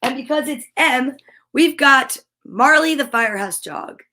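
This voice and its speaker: A woman with a fairly high voice hosts a story time for children. She is upbeat and encouraging, moving between reading aloud and chatty asides to her viewers. Her manner is gushing and animated. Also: a little slow.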